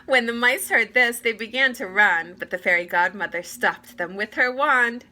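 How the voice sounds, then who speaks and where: A woman reading a fairy tale aloud to children with deliberate, expressive phrasing. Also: on the high side. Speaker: read-aloud storyteller. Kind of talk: expressive children's story narration. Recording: clear background noise.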